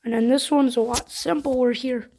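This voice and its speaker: High-voiced woman giving a casual product demo. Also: fairly even intonation, normal speed, a little mellow, a little hiss or hum. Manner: informal, step-by-step explaining. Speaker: product reviewer.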